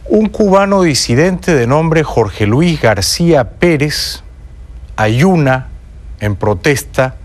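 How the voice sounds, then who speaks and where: A man's voice: fairly high pitch, expressive, slow. A Peruvian man hosting a talk show, delivering an indignant, sarcastic monologue with teasing asides. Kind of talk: opinionated monologue.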